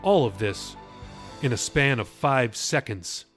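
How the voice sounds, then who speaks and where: A man's voice: medium pitch, animated, takes it slowly, fairly bright tone, soft background music. A man reading his own novel aloud as an audiobook, with dramatic shifts in pace. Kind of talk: audiobook narration.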